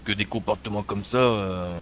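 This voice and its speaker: A man's voice: lowish voice, fairly even intonation, moderate pace, lightly acted. A man improvising an exasperated, blunt police lieutenant in online game roleplay, swearing and fumbling for words. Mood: hesitant, stern. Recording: clearly noisy game voice chat.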